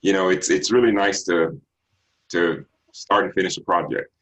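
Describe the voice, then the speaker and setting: A middle-aged man from Texas with a bass baritone voice, a singer answering interview questions in an unhurried, reflective way with many ums and restarts. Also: steady tone, a bit bright. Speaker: singer guest.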